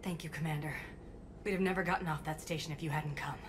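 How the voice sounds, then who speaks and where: A woman's voice: sits low, fairly even intonation, fast, background noise, lightly acted. An actress playing an earnest, proper woman academy teacher in a video game, formal and precise in wording. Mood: relieved.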